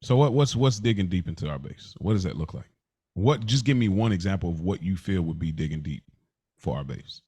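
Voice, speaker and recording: A man's voice: sits low, lively intonation, brisk, dark tone, breathy edge. A man co-hosting a podcast in a casual, conversational style. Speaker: podcast co-host.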